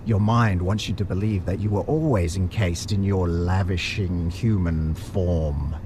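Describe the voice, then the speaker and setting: A man playing a maniacal butcher villain in an audio drama, taunting in a theatrical, drawn-out way. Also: low-pitched, steady tone, noisy background. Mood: sly, taunting. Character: menacing maniacal butcher villain, gloating over his victim.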